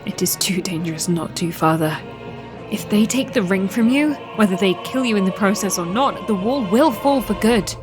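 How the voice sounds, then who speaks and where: A woman playing a resolute, war-weary young princess in a fantasy audio drama, measured, formal and earnest. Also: mid-range voice, lively intonation, music in the background, lightly acted. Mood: determined.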